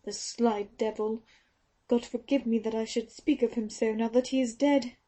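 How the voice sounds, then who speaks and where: A woman's voice: on the high side, fairly even intonation, moderate pace, dark tone, pitched above the performer's own voice, lightly acted. A woman doing a stern, fiercely loyal nurse. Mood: bitter, protective. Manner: indignant, protective. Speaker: audiobook reader.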